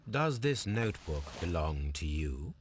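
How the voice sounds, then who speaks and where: A man playing a composed detective in a video game, probing in a measured, formal and coolly inquisitive manner. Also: low voice, very animated, a little hiss or hum. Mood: cool interrogation. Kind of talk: dramatic video game acting.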